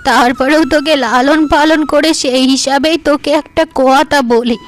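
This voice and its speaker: A woman voicing an elderly village mother-in-law in a cartoon, tender and forgiving, speaking in a slow, affectionate drawl. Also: sits high, fairly even intonation. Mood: gently advising. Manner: slow, drawn-out, affectionate speech.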